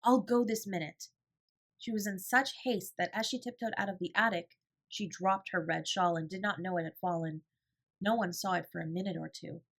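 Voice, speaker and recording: Expressive woman reading a classic storybook. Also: on the low side, a little fast, vocal fry. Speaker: audiobook narrator.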